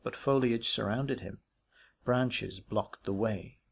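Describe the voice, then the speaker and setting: A man reading a ghost story aloud as literary narration, in a measured, expressive way with varied emphasis. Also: lowish voice, gravelly, slightly rough. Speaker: audiobook reader.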